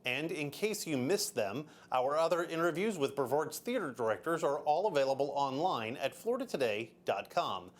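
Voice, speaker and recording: A man hosting a local TV arts show on a theater stage, playful and quick to interrupt with a laugh. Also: a little high, animated. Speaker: TV show host.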